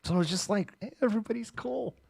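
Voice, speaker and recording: A middle-aged American man hosting a livestream, talking casually and candidly in a halting, informal monologue. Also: high-pitched, vocal fry, a little mellow, slightly rough, no room sound, studio quality. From Massachusetts, United States. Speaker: livestream news host. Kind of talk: casual monologue of personal reminiscence.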